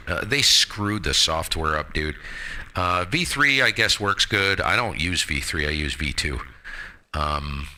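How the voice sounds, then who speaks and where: Male, low and very bright, forceful voice; opinionated, emphatic, casual ham radio talker. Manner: blunt, emphatic, slangy. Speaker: amateur radio operator hosting a live stream.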